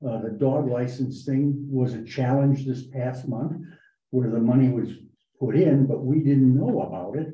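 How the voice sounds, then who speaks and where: A man reporting to a town board meeting, talking in a halting way with many fillers and restarts. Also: mid-range voice, warm tone. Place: town hall meeting room. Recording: echoey, muffled meeting room recording.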